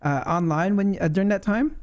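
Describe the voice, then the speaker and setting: A man hosting an interview, asking questions in a quick, joking way. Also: high voice, darkish tone. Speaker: show host.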